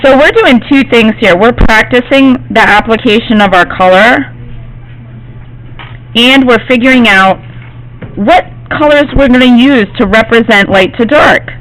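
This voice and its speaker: A woman art teacher, slightly hoarse from losing her voice, gives a classroom demonstration and often checks in with her students. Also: a little high, a little slow, fairly bright tone, low-quality recording. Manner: reassuring, checks in with "okay?".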